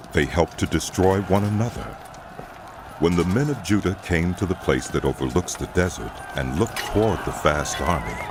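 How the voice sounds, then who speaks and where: A man narrating scripture as a scripted drama, telling the story in a solemn, measured way. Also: a bass voice, noisy background.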